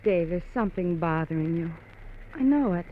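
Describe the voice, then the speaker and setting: An actress playing a gentle, concerned young wife in a 1950s radio drama. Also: female voice, fairly low pitch, expressive, normal speed, lightly acted. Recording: tinny lo-fi 1950s radio recording with faint hiss.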